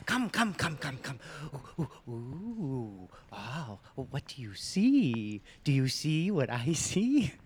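A man playing an eager carnival barker in improvised radio comedy, with a folksy, coaxing, drawn-out showman delivery. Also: medium pitch, sing-song. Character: eager drawling male carnival barker luring visitors.

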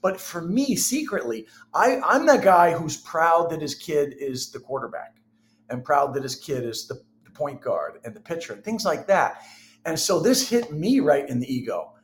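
A man's voice: fairly high pitch, lively intonation, moderate pace. A middle-aged man telling personal stories candidly and conversationally as an interview guest.

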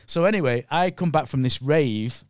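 A man's voice: on the high side, normal speed, clean tone, no echo, studio quality. Sweary Mancunian man telling stories. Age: middle-aged. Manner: sweary, blunt. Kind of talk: casual anecdotal storytelling.

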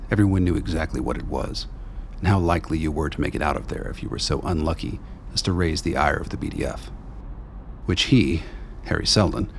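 A man delivers scripted dramatic narration in an extremely low, gravelly voice. The storytelling is measured and ominous, with faint ambience underneath. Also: a little hiss or hum. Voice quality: deep, gravelly.

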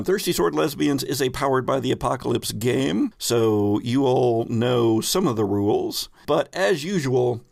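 Man, game master, creaky voice, unhurried pace, improvised dramatic narration, dry close sound. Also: medium pitch, darkish tone, dry recording. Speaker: podcast host and game master. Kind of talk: casual hosting and narration of a tabletop roleplaying game.

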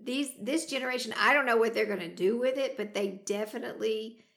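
Gravelly-voiced woman podcast host. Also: on the high side, brisk, fairly bright tone. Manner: chatty, laughs often. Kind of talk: casual chat.

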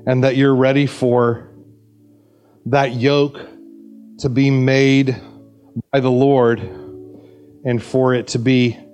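A man's voice: medium pitch, little pitch movement, takes it slowly, pauses often, a little mellow, smooth, faint music behind. Chatty, joking male pastor. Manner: conversational, jokey, frequent restarts and fillers. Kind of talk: sermon with anecdotes. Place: church service.

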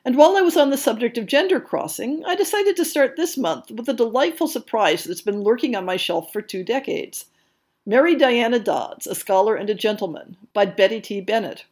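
A woman presents a scripted podcast monologue in a fairly high voice. Her intonation swings widely, with emphatic stresses on key words. The phrasing is articulate and measured, like someone reading out prepared news and announcements. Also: lively intonation, a little mellow. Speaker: podcast host.

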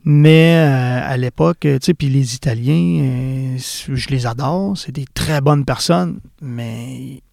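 Gravelly-voiced Quebec French man, halting. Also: mid-range voice, a little mellow, no room sound, studio quality. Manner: rambling, halting, many restarts. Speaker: podcast guest, tire repair specialist. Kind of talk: casual interview chat.

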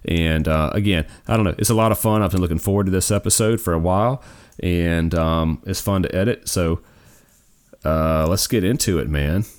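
A man's voice: sits low, moderate pace, a little mellow, clear, resonant tone. A forty-year-old man co-hosting a gaming podcast in a rambling, easygoing casual chat, often tossing in "man". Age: 40s. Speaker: podcast co-host.

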